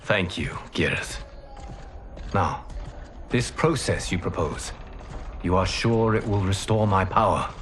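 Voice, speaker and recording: An actor playing a noble, gentle god and protector in a video game, speaking in a formal, earnest, courteous way. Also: male voice, low-pitched, animated, slow pace, long pauses, a little hiss or hum, full character voice. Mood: questioning.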